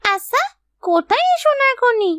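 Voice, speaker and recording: A woman's voice: very high-pitched, sing-song, normal speed, fairly bright tone, heavily acted. A woman voicing an anxious, pleading little village girl in a children's fairy-tale cartoon. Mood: urgent, questioning.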